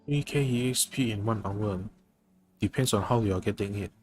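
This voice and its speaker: A man's voice: on the low side, moderate pace, soft background music. A man livestreaming a game, chatting casually with viewers and thinking aloud in a hesitant way. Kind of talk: casual chat with viewers during gameplay.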